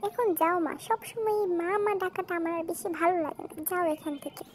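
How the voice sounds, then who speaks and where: A woman's voice: very high-pitched, fast, a little hiss or hum. A woman voicing a young village girl in a cartoon moral story. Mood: annoyed. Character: young village girls in a fairy tale, stepdaughter and sister.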